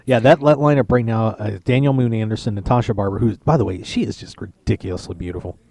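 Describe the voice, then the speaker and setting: A man's voice: on the low side, quick pace, gravelly, a little mellow, no room sound. Chatty male poker radio host. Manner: chatty, joking, rambling with restarts. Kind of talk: casual live-stream chat. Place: poker tournament lounge.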